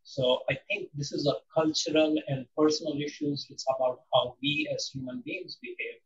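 A man in his sixties from India, answering interview questions in a measured, reflective way with frequent pauses and ums. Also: fairly high pitch, fairly even intonation, distant mic, highs cut off, a little hiss or hum. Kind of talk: interview conversation.

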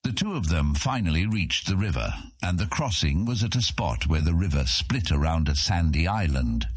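A man narrating a scripted fantasy audiobook in a measured storytelling manner. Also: sits low, husky, dry recording.

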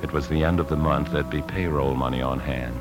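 An actor playing an outwardly calm but secretly anxious bank man, with tense, clipped delivery in 1950s radio drama style. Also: extremely low pitch, a little fast, over loud music. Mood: grave, confiding. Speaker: lead actor and narrator. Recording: vintage 1950s radio broadcast.

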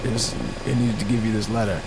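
A man's voice: lowish voice, flat delivery, fast talker, noisy background, natural voice. A man playing an upbeat but slightly nervous reality competition host in an audio drama, with a presenterly, at times stammering delivery. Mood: flustered, evasive. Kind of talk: audio drama acting, show narration. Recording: music behind the voice.

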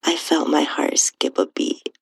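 A woman narrating an intimate first-person erotic story in a breathy, hushed, sultry voice. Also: deep voice, lively intonation, a little slow, dry recording. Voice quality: breathy, whispery, husky. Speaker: podcast host and narrator reading own story.

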